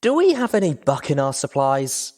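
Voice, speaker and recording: Man putting on a high, breathless young female pony. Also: wildly swooping pitch, moderate pace, darkish tone. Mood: urgent, determined. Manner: breathless, urgent. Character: young female pony, exhausted, hurt, determined.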